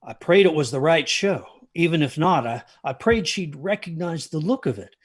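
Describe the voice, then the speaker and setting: Husky, haunted grandfather. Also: fairly high pitch, animated. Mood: haunted, tense. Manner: halting, intense, repeats himself. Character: haunted grandfather telling a ghost story from his youth. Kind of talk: dramatic storytelling.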